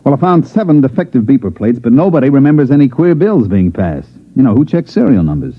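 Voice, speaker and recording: A man's voice: medium pitch, very animated, quick pace, slightly rough, phone-quality sound. An American man playing a wry, hard-boiled government investigator, acting and narrating in a sardonic 1950s radio drama style. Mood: dry, casual. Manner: wisecracking, sardonic delivery. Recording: thin, band-limited old radio recording with music.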